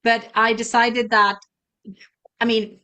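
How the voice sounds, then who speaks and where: A woman with a southern Swedish accent, speaking English as a guest in a casual interview, friendly and quick to laugh. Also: on the high side, flat delivery, bright, forceful tone. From Sweden. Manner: friendly, laughs easily, fillers. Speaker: guest, virtual assistant.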